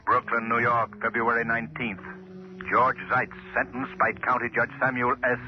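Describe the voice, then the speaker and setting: An actor playing an ominous, all-knowing avenger declaiming in 1940s radio drama. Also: male voice, medium pitch, completely flat delivery, moderate pace, lightly acted. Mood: ominous, stern. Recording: muffled 1940s radio, faint music.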